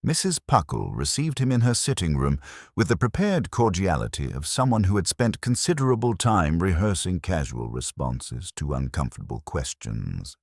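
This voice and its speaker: Very deep male mystery narrator, dry sound. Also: big pitch swings, normal speed, vocal fry, breathy edge, dry recording. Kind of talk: scripted audiobook-style mystery narration.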